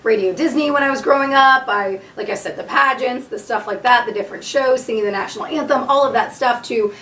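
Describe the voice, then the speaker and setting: A young adult woman, a radio co-host, talking candidly in an interview with a husky voice from throat nodules. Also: on the high side, a little fast, fairly bright tone. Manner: candid, laughs often. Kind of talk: casual interview chat. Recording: echoey room, mic at a distance.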